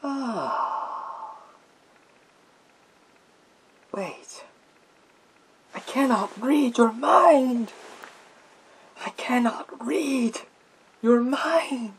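A man playing a menacing, theatrical psychic warrior in a breathy whisper for ASMR roleplay, speaking slowly through a gas mask. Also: extremely high pitch, fairly bright tone, exaggerated voice, rough recording. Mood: baffled, desperate. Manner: slow, drawn-out, theatrical delivery. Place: small room lined with bookshelves. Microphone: speaking through a gas mask, close to camera.

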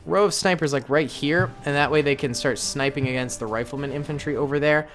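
A man's voice: fairly high pitch, a little fast, fairly bright tone, soft background music. A young man casually commenting on his gameplay in a playful, jokey way, with slang. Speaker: gaming YouTuber.

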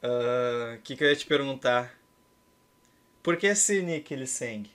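A man's voice: medium pitch, animated, takes it slowly, a bit bright. A man in his thirties with a Brazilian accent, chatting casually and ironically as he hosts and commentates a game stream. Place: indoor room at home.

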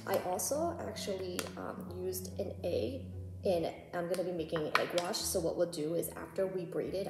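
A young woman in her twenties giving a relaxed, chatty cook-along talk to camera in her home kitchen, with frequent "um" and "go ahead". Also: on the low side, creaky, background noise. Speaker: cooking vlogger. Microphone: camera on a tripod across the counter. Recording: echoey kitchen with background music.

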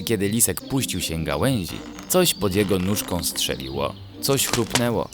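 A man narrating a children's bedtime story in an expressive storytelling manner. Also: low voice.

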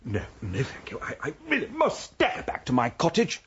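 A man's voice: a little high, wildly swooping pitch, moderate pace, breathy edge, lo-fi sound, heavily acted. An actor playing a flustered, polite middle-aged English man in a radio drama, hesitant and proper. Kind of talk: BBC radio drama acting.

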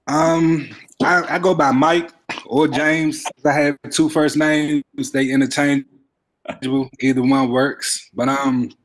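Polite man introducing himself, slightly high. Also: fairly even intonation, slow pace, low-quality recording. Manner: polite, formal. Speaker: student. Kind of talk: class introduction on video call.

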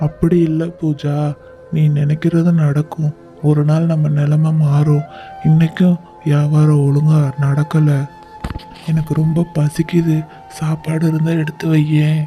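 A man's voice: a little high, completely flat delivery, unhurried, warm tone. A man voicing a meek, hardworking male crow fruit seller in a cartoon, mild and apologetic. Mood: weary. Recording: light music bed.

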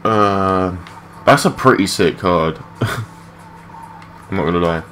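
A young man with a low voice giving rambling, matey, sweary gameplay commentary in a casual unscripted way. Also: slow pace, a bit bright, soft background music. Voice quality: low-pitched voice. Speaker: gaming video creator. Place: his room at home. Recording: clearly noisy home mic recording.

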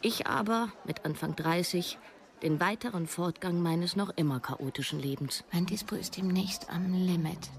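A woman's voice: fairly low pitch, moderate pace, creaky. An actress playing a broke, self-doubting writer in her thirties, narrating a radio drama in the first person. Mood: defensive. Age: 30s. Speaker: narrator and lead.

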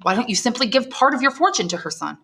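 A woman's voice: a little high, very animated, quick pace, a bit bright, voice pushed up. A woman reading a devoted young suitor. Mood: earnest, devoted. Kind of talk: audiobook character voice.

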